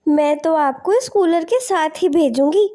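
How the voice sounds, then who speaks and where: A woman voicing a grateful, insistent village mother in an animated moral story, polite and earnest. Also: extremely high pitch, a little slow.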